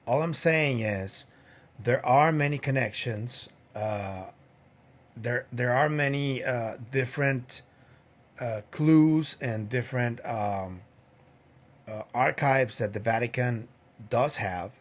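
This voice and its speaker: A man hosting a podcast, talking in a casual solo commentary with lots of fillers and pauses. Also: mid-range voice. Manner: unhurried, many fillers. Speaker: podcast host.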